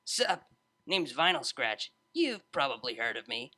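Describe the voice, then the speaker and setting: A man plays a young female unicorn DJ from a storybook, easygoing and sure of herself. The talk is slangy and casual, with a bright edge and swinging intonation. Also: mid-range voice, very animated, a little slow, full character voice. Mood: cocky, friendly.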